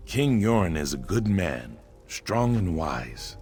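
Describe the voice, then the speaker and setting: A proud lizard-folk warrior from a fantasy game, with a low-pitched voice. He speaks solemnly and deliberately, carrying an old grievance, over faint music. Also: very animated, a little mellow, full character voice. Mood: bitter, proud. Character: proud, aggrieved male reptilian warrior from a swamp people. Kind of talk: video game character dialogue. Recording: music behind the voice.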